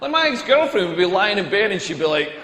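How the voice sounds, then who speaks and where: A man delivers a crude stand-up routine in a high-pitched voice that rings around a reverberant hall. He rambles from phrase to phrase, repeating himself for comic effect. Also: very animated, quick pace, a bit bright. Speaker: stand-up comedian. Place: live comedy venue. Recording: echoey hall with long reverb.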